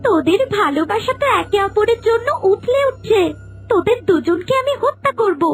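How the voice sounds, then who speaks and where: A woman's voice: very high voice, expressive, moderate pace, dry recording, faint music behind. A woman voicing a vengeful, scorned serpent maiden in a cartoon melodrama, speaking with menace. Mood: vengeful, threatening.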